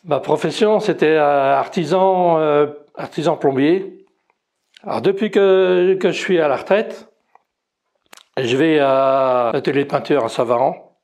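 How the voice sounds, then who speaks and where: A man's voice: fairly high pitch, measured pace, a little mellow, soft background music. A retired man in his sixties from Belgium with a Belgian French accent, talking about his painting in a hesitant way, with many fillers and restarts. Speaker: amateur painter. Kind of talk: casual interview.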